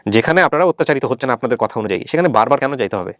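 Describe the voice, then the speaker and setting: A man's voice: medium pitch, animated, racing, fairly bright tone, dry recording. A man hosting a family reunion show, questioning methodically and repeating points to confirm them. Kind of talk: probing interview, reading out and summarizing testimony.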